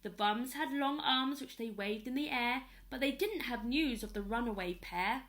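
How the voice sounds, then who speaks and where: A woman reading a children's story aloud in an expressive storytelling style. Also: fairly high pitch. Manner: pauses to ask young listeners questions. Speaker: storyteller at a primary school.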